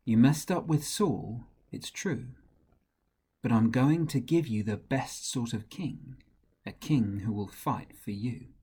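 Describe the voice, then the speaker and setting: A man voicing a steady, reassuring God. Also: mid-range voice, takes it slowly, slightly rough.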